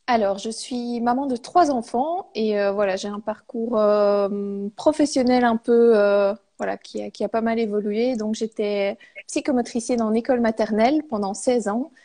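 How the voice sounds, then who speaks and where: A woman's voice: on the high side, steady tone, normal speed, warm tone. A woman in her thirties from Belgium, answering interview questions hesitantly with many fillers. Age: 30s. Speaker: guest, copywriter.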